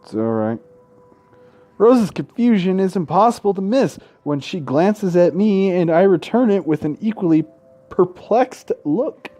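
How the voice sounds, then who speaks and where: A man's voice: high-pitched, expressive, measured pace, mellow. A man hosting a gaming video, reading visual novel text aloud and adding casual, irreverent commentary. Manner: irreverent, sweary heckling between read passages.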